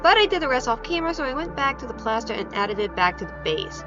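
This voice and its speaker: A woman with a high voice gives an informal craft video voice-over. She is playful and self-deprecating, sometimes hesitant. The recording is a little muffled, with music playing behind her. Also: big pitch swings, fast talker. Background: background music.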